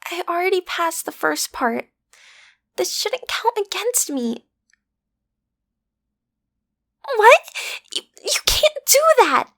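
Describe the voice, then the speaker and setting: A woman's voice: extremely high pitch, very animated, slow pace, gravelly, fairly bright tone, heavily acted. A woman playing a cute, easily flustered teenage villain's daughter in an audio roleplay, stammering earnestly as she tries to seem intimidating. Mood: flustered, pleading.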